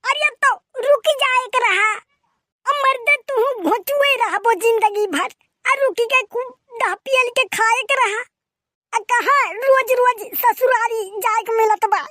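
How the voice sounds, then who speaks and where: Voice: very high voice, takes it slowly, sharp tone, dry recording, clean studio sound, heavily acted. A performer voicing a cheeky, aggrieved cartoon village boy who complains in a rustic eastern Uttar Pradesh accent. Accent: eastern Uttar Pradesh (Awadhi). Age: child character. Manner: complaining, colloquial, exclamatory. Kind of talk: rustic comedy cartoon dialogue.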